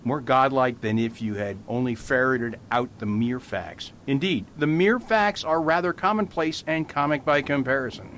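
An actor playing a calm, playful priest detective in an amateur radio drama, patient and mild. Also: male voice, mid-range voice, normal speed, few pauses, slight background noise, close to an everyday voice. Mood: whimsical. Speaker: Father Brown.

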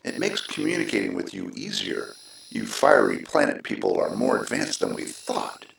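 A man's voice: lowish voice, sing-song, moderate pace, creaky, a bit bright, heavily acted. A man playing a curious, self-interested alien in a science fiction radio drama, blunt and calculating in manner. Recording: distant, echoey, noisy.

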